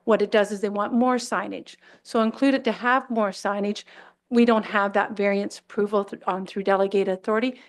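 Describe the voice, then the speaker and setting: Woman staffer explaining bylaw rules. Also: medium pitch. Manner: procedural, explanatory, frequent fillers. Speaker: municipal staff member. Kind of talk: explaining bylaws at a council meeting. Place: council chamber. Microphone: desk microphone.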